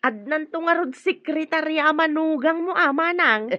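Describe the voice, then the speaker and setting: A woman's voice: high voice, normal speed, sharp tone, dry recording. A woman playing a chatty, gossiping storekeeper in a radio drama, with an Ilocano Filipino accent. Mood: curious, teasing. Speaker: voice actress. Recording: dull lo-fi audio with music bed.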